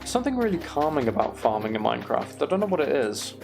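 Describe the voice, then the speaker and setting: A man streaming a game, chatting casually and thinking aloud in an unhurried way as he plays. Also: medium pitch, big pitch swings, gravelly, music in the background. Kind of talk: casual gaming livestream chat.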